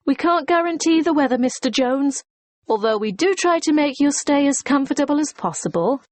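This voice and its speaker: A woman's voice: high-pitched, expressive, normal speed, no echo. A woman playing a courteous, efficient hotel receptionist in a scripted listening-test dialogue, formal and helpful.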